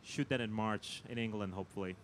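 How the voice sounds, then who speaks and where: A man being interviewed as a film producer, chatting casually and haltingly, often repeating his words. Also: fairly low pitch, a little hiss or hum.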